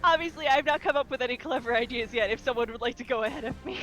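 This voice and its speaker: A woman's voice: sits high, rapid-fire, fairly bright tone, faint music behind. A woman playing a tabletop roleplaying game, talking casually and thinking through her moves in a tentative, questioning way.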